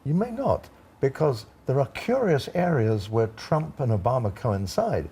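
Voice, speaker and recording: Older british guy, mellow husky voice, wry blunt pundit on a news panel. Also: mid-range voice, big pitch swings, unhurried. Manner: wry, blunt, colloquial asides. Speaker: former ambassador, studio guest.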